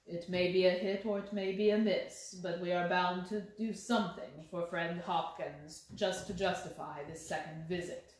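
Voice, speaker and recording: A woman voicing a gentleman detective who is calm, courteous and firm, with a measured, grave and gently insistent manner. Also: fairly low pitch, voice pushed down, lightly acted. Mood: pensive. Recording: very echoey room.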